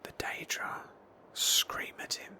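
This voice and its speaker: A woman narrating a roleplay game playthrough in a breathy ASMR whisper, slowly and with pauses. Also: bright, forceful tone.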